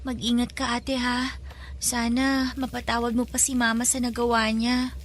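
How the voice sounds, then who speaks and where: Woman playing a hushed, high-voiced kind young girl. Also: completely flat delivery, normal speed, music in the background, lightly acted. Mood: hushed, caring. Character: kind, timid young girl secretly helping a maid. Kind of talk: Filipino radio drama acting.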